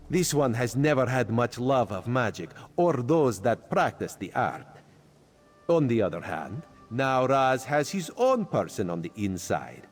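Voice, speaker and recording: An actor playing a sly male cat-folk spymaster who talks about himself in the third person, in a video game. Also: medium pitch.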